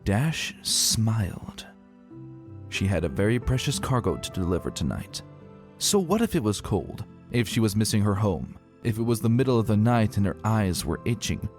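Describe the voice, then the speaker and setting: A man's voice: lowish voice, moderate pace. A man giving a dramatic, theatrical reading of a scripted story as narrator. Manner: expressive, theatrical delivery. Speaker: narrator reading fan fiction aloud. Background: music.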